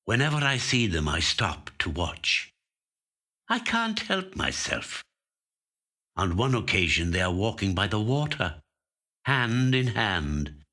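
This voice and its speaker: A man reading a literary short story as a trained actor, with measured, deliberate, dramatic phrasing into a studio microphone. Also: lowish voice, big pitch swings, pushed and bright. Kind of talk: scripted literary narration.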